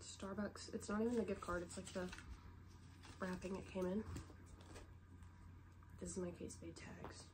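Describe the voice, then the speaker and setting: A woman's voice: medium pitch, fairly even intonation, a little slow, long pauses. Stuffy young woman chatting. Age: young adult. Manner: chatty, rambling, lots of "like" fillers. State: stuffy head cold. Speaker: vlogger. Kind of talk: casual show-and-tell chat. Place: bedroom, sitting on the bed. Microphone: camera set low in front of her. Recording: noisy home recording.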